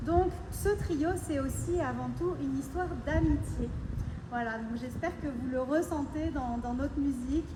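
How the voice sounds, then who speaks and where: French-accented woman musician, high voice, talking to an audience, hesitant, echoey live sound with noise behind. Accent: French (France). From France. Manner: warm, halting, many fillers and restarts. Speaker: musician addressing a concert audience. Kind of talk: spoken address between pieces at a concert. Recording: echoey live concert recording with background noise.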